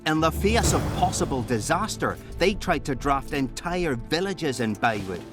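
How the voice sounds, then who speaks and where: A man's voice: on the high side, very animated, moderate pace. An actor playing a rustic man recounting grim war news in a video game. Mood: grave. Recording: game music behind the voice.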